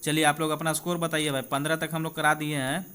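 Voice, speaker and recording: A man's voice: a little high, level tone, fast, bright, forceful tone, clear, resonant tone. A man with a Bihari accent teaching an informal exam-prep lesson, reading out quiz questions and explaining answers, often checking in with his students in a conversational way. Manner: conversational, addresses students directly with repeated check-ins.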